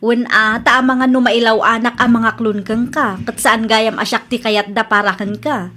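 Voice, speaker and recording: Young girlfriend played by a woman, high voice, hopeful and easily dismayed, comedic radio drama. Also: steady tone, moderate pace, pushed and bright, a little hiss or hum. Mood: expectant.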